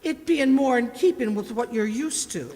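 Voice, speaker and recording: A woman's voice: on the high side, animated, moderate pace, mellow, natural voice. A woman playing a bossy, sarcastic cook in old London in an amateur radio play. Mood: mocking. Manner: bossy, mocking, scornful. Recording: echoey hall, long reverb.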